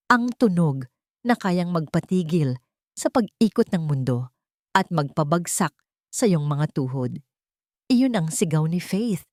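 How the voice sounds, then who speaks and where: A woman's voice: fairly low pitch, darkish tone, dry recording, clean studio sound. A woman with a Filipino accent reading scripted drama as a storyteller, in an emotive, suspenseful manner. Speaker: story narrator. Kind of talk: dramatic scripted narration of a Tagalog romance drama.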